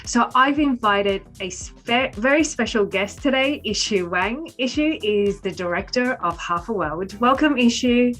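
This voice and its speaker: A woman's voice: a little high, expressive, measured pace, few pauses, fairly bright tone, faint music behind. A woman hosting a business interview in a friendly manner, laughing now and then. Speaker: host.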